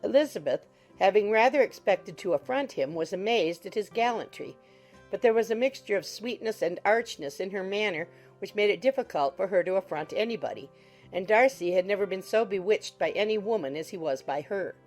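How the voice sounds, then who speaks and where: A woman's voice: mid-range voice, animated, fairly quick. A woman reading scripted literary narration as an audiobook narrator. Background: soft music.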